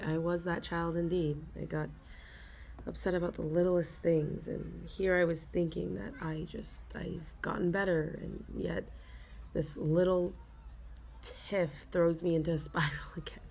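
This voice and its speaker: Slow, gravelly young woman confiding softly. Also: fairly low pitch. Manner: hesitant and self-deprecating, with frequent sighs and halting restarts. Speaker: ASMR role-player playing an anxious office worker. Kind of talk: soft confiding ASMR role-play.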